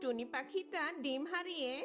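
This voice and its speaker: A woman voicing a gentle, pitying bird friend in a children's cartoon. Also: sits high, moderate pace, close to an everyday voice.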